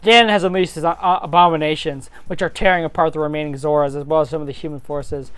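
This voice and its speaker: A woman's voice: deep voice, steady tone, quick pace, smooth, no room sound. A woman narrating a fantasy story informally, as an amateur reader would, over faint music. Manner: informal, breaking into giggly asides.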